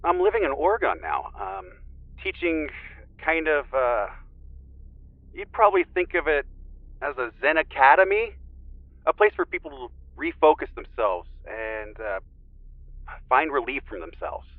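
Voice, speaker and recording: An easygoing Zen teacher phoning in to an audio drama. The sound is dull and radio-like, as over a remote call, and very dry. His voice moves in lively, gently teasing swings. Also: a little high, a little slow, pauses often, no echo. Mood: mellow, teasing. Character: mellowed male ex-contestant turned Zen teacher, gently teasing. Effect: remote call filter. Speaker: podcast guest. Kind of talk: audio drama phone interview.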